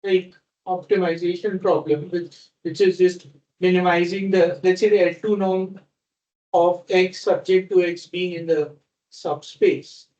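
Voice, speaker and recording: An audience member works through a question aloud, hedging with frequent likes as the idea takes shape. The voice sits at a middling pitch and comes through muffled and lo-fi. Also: fairly even intonation, measured pace, a little mellow. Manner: hesitant, reasoning aloud with many likes.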